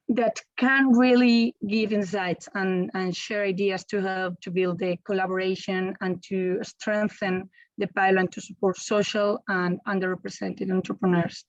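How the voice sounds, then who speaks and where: A woman giving opening remarks on a video call, quick and correcting herself as she goes. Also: mid-range voice, no room sound. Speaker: event organizer. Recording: muffled video call audio.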